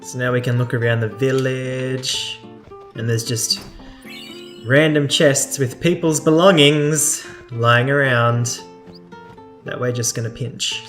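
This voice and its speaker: A let's play host giving casual, conversational commentary while playing a video game. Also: medium pitch. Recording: game music behind the voice.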